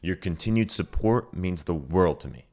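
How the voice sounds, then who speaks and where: Podcast host guy, really deep voice, dry close mic, upbeat and friendly. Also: lively intonation, moderate pace, a little mellow, no room sound. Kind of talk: scripted intro and interview questions.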